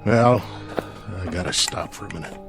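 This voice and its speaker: A man's voice: sits low, moderate pace, fairly bright tone, faint music behind. An actor playing a selfish, desperate crewman stranded in space, sardonic and complaining, in a radio drama. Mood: bitter, weary.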